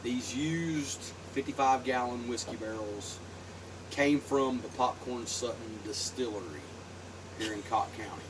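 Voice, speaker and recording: Laid-back Southern host, far from phone. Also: male voice, medium pitch, measured pace. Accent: Appalachian Southern. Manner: laid-back, rambling with fillers. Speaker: show host. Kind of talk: casual chat while drinking on a porch. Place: outdoor porch. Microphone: phone some distance away. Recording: distant phone mic, noisy outdoors.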